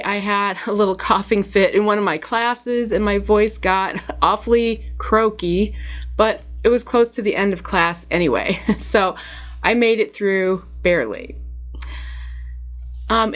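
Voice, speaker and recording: A woman with a cold, her voice hoarse, chatting casually to camera about knitting and yarn, with frequent ums. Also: mid-range voice. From United States. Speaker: knitting vlogger.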